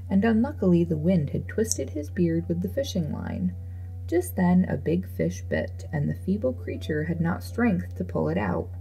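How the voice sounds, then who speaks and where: Low, creaky-voiced woman storyteller. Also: warm tone, low-quality recording. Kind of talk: scripted fairy tale narration. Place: voice-over with music behind.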